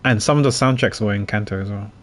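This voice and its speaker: Low-voiced, rambling male streamer. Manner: rambling, halting, thinking aloud. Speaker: game streamer. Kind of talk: casual livestream chat while playing. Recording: faint noise, keyboard typing.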